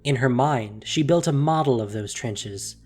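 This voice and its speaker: A man narrating a dramatic fantasy story solemnly, with deliberate pauses, over a music bed. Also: medium pitch, darkish tone.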